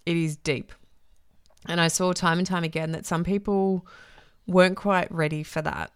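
A woman hosting her own podcast as a transformation coach, talking alone in an effusive way, often repeating herself for emphasis, with a voice gone husky from a week-long cough. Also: fairly low pitch, fairly even intonation, moderate pace, dry recording, clean studio sound. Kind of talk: solo podcast monologue.

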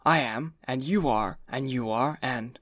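A man voicing a wealthy young husband, cross and brutish, with a gruff, insistent, pompous manner. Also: mid-range voice, measured pace, fairly bright tone, dry recording, studio quality, natural voice. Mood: blustering.

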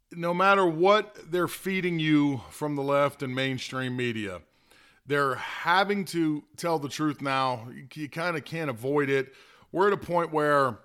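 A man's voice: mid-range voice, sharp tone, clean tone. A man hosting a political talk show, delivering opinionated conservative commentary in a plain-spoken, conversational monologue.

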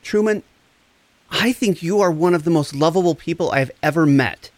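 An actor playing a gentle, encouraging empath therapist, speaking in a reassuring, deliberate way in a scripted audio drama. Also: male voice, on the high side, no room sound, natural voice. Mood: tender, encouraging. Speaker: actor in a fiction podcast.

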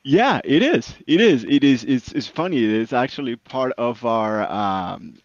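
A man's voice: mid-range voice, expressive, measured pace, dry recording, highs cut off. A man who founded a consulting firm, talking earnestly in an interview and often adding "right?". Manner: earnest, repeats words, tag "right?". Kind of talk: business interview.

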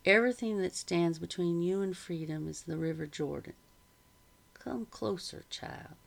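Woman reading as a slow, gentle old grandfather. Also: on the low side, creaky. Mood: gentle, wise. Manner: slow, quiet, deliberate delivery. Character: kindly, wise old grandfather, gently amused.

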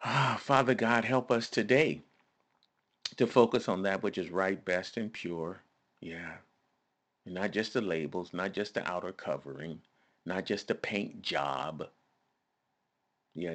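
A man's voice: low voice, slow pace, long pauses, fairly bright tone. A man preaching a sermon in a conversational, emphatic way, repeating phrases and calling on listeners to respond.

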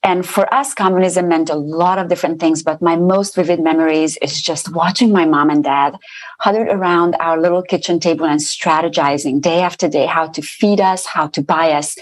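A woman's voice: low voice, normal speed. A woman from Romania giving an earnest faith testimony as an interview guest. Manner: earnest, steady storytelling.

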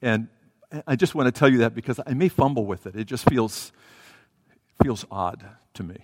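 Male preacher, loud voice, casual halting delivery, headset mic, long church reverb. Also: medium pitch. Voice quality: self-described loud voice. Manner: conversational and hesitant, with fillers and restarts. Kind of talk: sermon with Bible reading and prayer. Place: church sanctuary. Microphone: headset microphone. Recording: reverberant hall.

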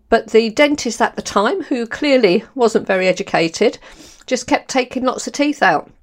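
An older woman with a London accent hosting her podcast, talking candidly with frequent laughs. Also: mid-range voice. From London, England. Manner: candid, animated, laughs often. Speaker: podcast host. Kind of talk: personal monologue.

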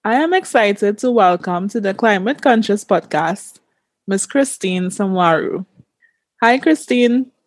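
A woman hosting a podcast, reading a measured prepared introduction and asking her guest questions. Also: mid-range voice, animated, no room sound. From Caribbean. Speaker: podcast host.

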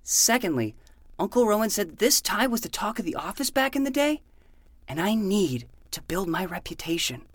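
A woman's voice: mid-range voice, very animated, moderate pace, slightly rough, dry recording. A woman playing a self-important 12-year-old boy who acts like a hardboiled private eye in a fiction podcast. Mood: earnest, insistent. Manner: melodramatic, self-important noir narration.

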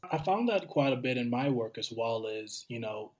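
A man from Cameroon hosting a conversation, talking in a thoughtful, unhurried way and often repeating words as he starts a sentence. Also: mid-range voice, lively intonation. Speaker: podcast host. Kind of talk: casual conversational interview.

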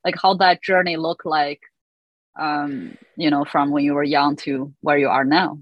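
A woman's voice: low voice, steady tone, normal speed, no room sound, dull audio. A woman hosting a casual interview, asking curious, encouraging questions and giving short backchannels. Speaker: interviewer, host.

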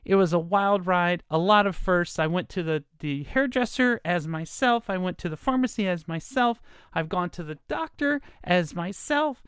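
A woman's voice: on the low side, lively intonation, normal speed, clean tone, no room sound, studio quality. A woman in her fifties hosting a solo podcast, talking in a rambling, hesitant way with many fillers, her voice a bit nasal from stuffy sinuses. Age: 50s. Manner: rambling, hesitant, self-deprecating with frequent fillers. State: stuffy nose from allergies. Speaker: podcast host. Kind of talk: casual solo podcast monologue.

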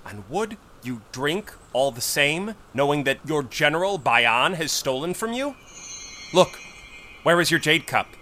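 A man voicing a manipulative court finance secretary who speaks in a measured, insinuating way. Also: a little high, very animated, bright, forceful tone, exaggerated voice. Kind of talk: audio drama acting.

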